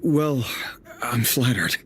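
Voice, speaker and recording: An actor playing a reflective, dryly wry man in love in a video game, speaking in a measured, confiding way. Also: medium pitch, lively intonation, a little hiss or hum. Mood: jovial, bashful. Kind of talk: video game cutscene voice acting.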